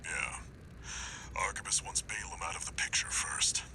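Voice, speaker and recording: An actor playing a grim, terse older military handler in a video game, with a gravelly, breathy voice. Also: male voice, low-pitched, steady tone, moderate pace, in a whisper, poor audio. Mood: grim, subdued.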